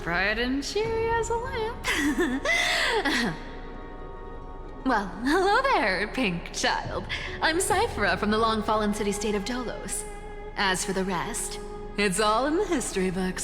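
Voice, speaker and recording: Playful, animated roguish woman. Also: medium pitch, measured pace. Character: playful teasing roguish woman, breezy and confident. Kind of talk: video game character acting. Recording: long reverb, music behind.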